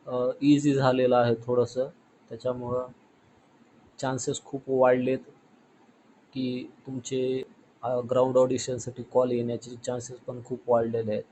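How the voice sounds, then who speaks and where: A man presenting an informational vlog, explaining in a halting, repetitive way. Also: medium pitch, mellow, slight background noise, poor audio. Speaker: YouTube host.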